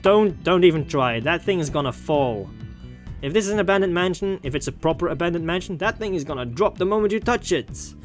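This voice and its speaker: A man giving casual, jokey, expressive let's-play commentary on a video game. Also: sits high, a little fast. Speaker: gaming video host. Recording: game music behind the voice. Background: game music.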